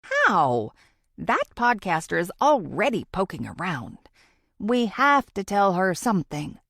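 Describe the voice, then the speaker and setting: A woman voicing an anxious, pious male police officer with a higher voice, agitated and worried, in a thriller audiobook. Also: wildly swooping pitch, a little slow, gravelly, fairly bright tone, dry recording, clean studio sound, full character voice. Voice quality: higher-pitched man's voice.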